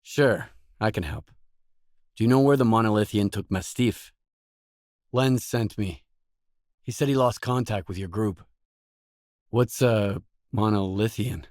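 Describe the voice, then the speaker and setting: An actor playing a terse, guarded mercenary in a video game, speaking scripted lines in a matter-of-fact way. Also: male voice, lowish voice, measured pace, pauses often, a little mellow, no echo, studio quality, close to an everyday voice.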